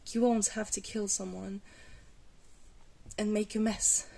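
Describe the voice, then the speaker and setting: A woman playing a glamorous vampire mentor in an ASMR roleplay, patient, reassuring and gently wry, with a soft, hesitant delivery. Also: medium pitch, little pitch movement, slow pace, vocal fry. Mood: reassuring, instructive.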